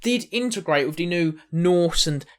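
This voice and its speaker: A man's voice: fairly high pitch, lively intonation, normal speed. Chatty man narrating history. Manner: chatty, jokey asides to viewers. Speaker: history channel host. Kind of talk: casual humorous history narration.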